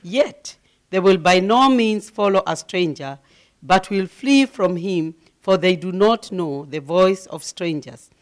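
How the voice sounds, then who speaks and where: A middle-aged woman from Kenya with a deep voice, preaching as a guest speaker, humble and given to laughing, growing loud and emphatic as she reads scripture. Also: lively intonation, slow pace. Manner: grows loud and emphatic when reading scripture. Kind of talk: sermon.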